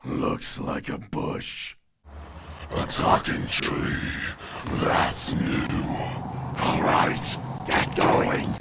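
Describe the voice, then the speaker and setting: A man voicing a gruff, suspicious alien creature in a harsh, guttural, unvoiced growl. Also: pushed and bright.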